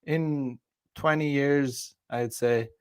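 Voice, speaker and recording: A man's voice: mid-range voice, steady tone, drawn-out words, darkish tone, smooth. An Irish man hosting a podcast, talking in a loose, profane monologue full of restarts and fillers. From Ireland. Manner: rambling, profane, many restarts. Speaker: podcast host. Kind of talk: casual livestream monologue.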